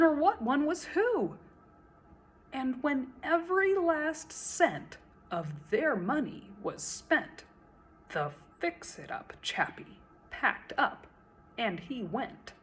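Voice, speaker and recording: Female children's storyteller; expressive rhyming recitation, leisurely pace, lively intonation. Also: fairly high pitch, a little hiss or hum. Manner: expressive, theatrical.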